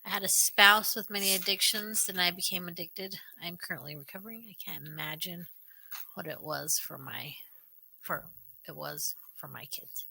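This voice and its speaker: A woman's voice: on the low side, normal speed, vocal fry, pushed and bright, low-quality recording. A woman co-hosting a podcast, reading out viewer comments and chatting in a friendly way. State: dry throat, burning nose. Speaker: podcast co-host. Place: small home studio. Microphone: studio mic on boom arm.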